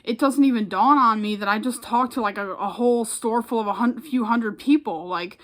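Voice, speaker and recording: A woman's voice: on the high side, moderate pace, fairly bright tone. An American woman who works as an announcer chats casually to camera in an informal, rambling, self-effacing way. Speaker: public address announcer and vlogger.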